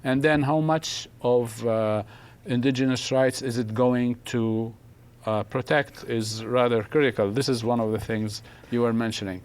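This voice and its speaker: A man's voice: mid-range voice, steady tone, unhurried, smooth. An older man from Palestine hosting a live TV studio panel, hesitant with many fillers but emphatic. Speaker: host.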